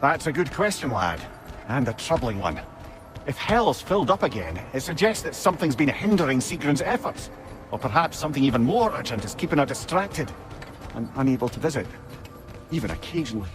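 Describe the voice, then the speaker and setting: A man's voice: mid-range voice, big pitch swings, a little fast, close to an everyday voice. Guy doing a wry old advisor for a game, wordy n earnest, voice swings up and down, music behind. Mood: troubled, thoughtful. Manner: wordy, old-fashioned phrasing. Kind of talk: video game voice acting.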